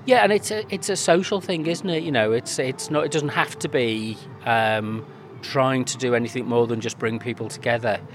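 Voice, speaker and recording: A man's voice: medium pitch, moderate pace, hardly any pauses, a little hiss or hum. A man talks about community media at an outdoor event. He is chatty and hesitant, with many fillers and restarts. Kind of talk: casual interview answers.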